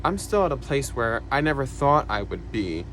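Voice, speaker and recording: Soft-spoken young man, hesitant and reflective. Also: mid-range voice, very animated, normal speed, slight background noise. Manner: soft-spoken, hesitant, many fillers and sighs. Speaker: vlogger. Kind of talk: casual personal talk to camera.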